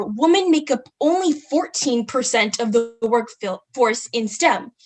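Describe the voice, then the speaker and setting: Young woman, student presenter, slightly high voice, reads slides with ums. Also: poor audio. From California, United States. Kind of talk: group presentation.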